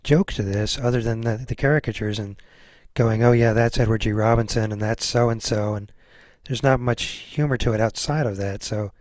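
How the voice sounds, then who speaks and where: A man's voice: on the low side, steady tone, moderate pace, darkish tone, dry recording. A man hosting a podcast in a casual, conversational interview style. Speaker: podcast host.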